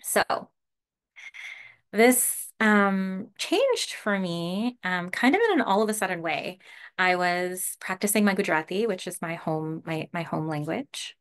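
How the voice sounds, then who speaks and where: A woman meditation teacher giving a relaxed, casual dharma talk over a video call, laughing often and using lots of fillers. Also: fairly low pitch, measured pace, smooth. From Canada.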